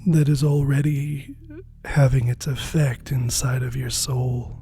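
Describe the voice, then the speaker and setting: A meditation guide with a dark, mellow voice leads a spiritual practice very slowly. The delivery is soft and breathy, often sinking toward a whisper, with long gentle pauses. Faint music plays along. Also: mid-range voice, steady tone.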